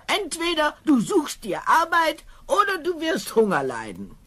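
An actress playing a poor, ageing widowed mother, nagging yet fond, in an expressive fairy-tale audio drama style. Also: high voice, measured pace, heavily acted. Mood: exasperated, scolding. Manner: scolding, expressive.

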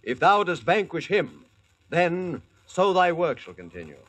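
A man's voice: a little high, very animated, unhurried, fairly bright tone, no room sound, full character voice. An actor playing a lordly, fair-minded king, declaiming in archaic style in 1940s-style radio drama. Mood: commanding.

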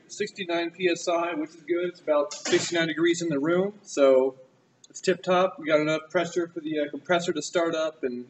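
A man, giving an informal, halting hands-on technical walkthrough as an automotive student. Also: fairly high pitch. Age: 20s. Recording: noisy amateur camera audio, vehicle noise.

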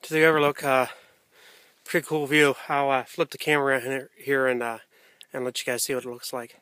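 A middle-aged man filming himself on a snowy mountain hike outdoors, chatting casually into a handheld camera at arm's length, halting with fillers as he pauses on the climb. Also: medium pitch, level tone, sharp tone, dry recording. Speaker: hiker filming his hike. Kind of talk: casual vlog narration.

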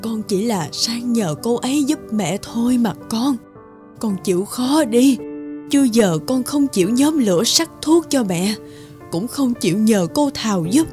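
High-voiced, sickly pleading mother. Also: expressive, unhurried, mellow. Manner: pleading, plaintive. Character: sick, weary, doting village mother. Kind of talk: cartoon folktale dubbing. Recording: music under the voice.

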